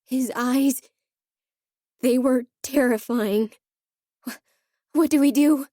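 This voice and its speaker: A woman's voice: sits high, slow pace, warm tone, dry recording, clean studio sound. A woman voicing a bold, nosy young servant girl in a video game, speaking informally. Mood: terrified.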